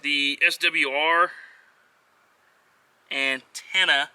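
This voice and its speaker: A man's voice: a little high, steady tone, extremely slow, pushed and bright, clear, resonant tone, no echo. A man giving a casual, unscripted how-to walkthrough as a vlogger, talking in an offhand, hesitant way with trailing phrases. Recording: noisy on-location vlog audio.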